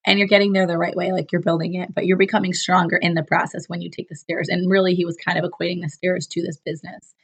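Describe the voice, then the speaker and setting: A woman with a cold that makes her voice sound lower and rough, giving a chatty, upbeat recap as a business coach with plenty of fillers and restarts. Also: little pitch movement, fast. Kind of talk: casual solo recap talk to camera.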